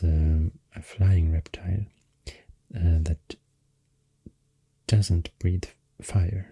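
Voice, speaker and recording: A man with a Swedish accent speaking softly and breathily, often close to a whisper, in a soothing ASMR ramble, close to the microphone. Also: no room sound. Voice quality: deep, soft, breathy, often near whisper. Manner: slow, hushed, soothing. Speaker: ASMR host. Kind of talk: soft-spoken ASMR rambling.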